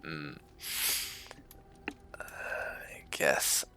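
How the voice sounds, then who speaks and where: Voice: extremely slow, sharp tone, in a whisper. A video game streamer giving casual, rambling let's-play commentary with wry asides.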